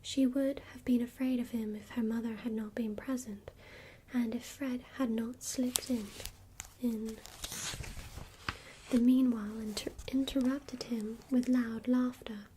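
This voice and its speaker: A woman reading a storybook aloud in a soft, even whisper, unhurried throughout. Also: on the high side, slight background noise, rough recording.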